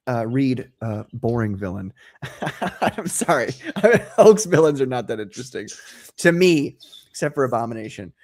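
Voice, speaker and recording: A man's voice: medium pitch, sing-song, moderate pace. Enthusiastic, rambling male comic creator. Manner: enthusiastic storyteller, rambling, laughs easily. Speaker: guest, comic book creator. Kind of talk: casual interview chat.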